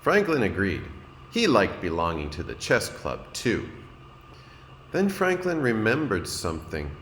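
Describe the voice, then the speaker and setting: A man, a kindergarten teacher, reading a storybook aloud to young children in an expressive, deliberate way and addressing his listeners. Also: on the low side. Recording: roomy echo, noticeable background noise.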